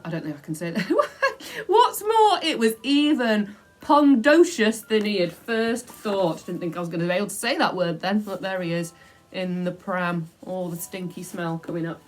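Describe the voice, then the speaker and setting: A woman reading a children's book aloud in an expressive storytelling style. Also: fairly low pitch, rough recording. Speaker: storybook reader.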